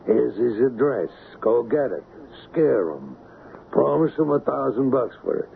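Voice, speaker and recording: A cagey radio drama hoodlum, super gravelly, slangy, hesitant, thin phone-like sound with hiss. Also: male voice, medium pitch, expressive, measured pace. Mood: cagey, bargaining. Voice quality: gravelly, creaky. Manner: hesitant, hedging, streetwise slang. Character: shifty small-time male criminal, bargaining, wary. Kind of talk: old-time radio drama acting. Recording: tinny, band-limited, noisy old radio recording.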